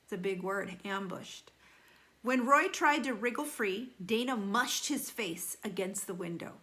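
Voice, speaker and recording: A woman reading a children's novel aloud like a teacher, animated, with deliberate emphasis and dramatic slowing on key phrases. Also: mid-range voice. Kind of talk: expressive children's read-aloud.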